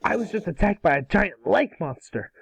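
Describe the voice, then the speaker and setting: A performer voicing a cocky, excitable ten-year-old boy hero with a boastful delivery in an amateur cartoon read. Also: mid-range voice, normal speed, gravelly, breathy edge, dry recording, full character voice. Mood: urgent, insistent. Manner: boastful, exclamatory.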